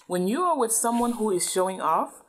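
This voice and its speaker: A woman's voice: medium pitch, very animated, a little fast, slight background noise. A woman doing a teaching read-aloud, patiently explaining and often checking understanding with questions. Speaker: adult reading a book with a child.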